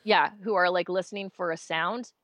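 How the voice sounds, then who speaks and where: Casual interview guest: a woman with a low-pitched voice, chatty and wry, recorded dry and close. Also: fairly even intonation, a bit bright, no echo, dull audio. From United States. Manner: chatty, self-mocking, quick asides. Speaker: voice actor guest.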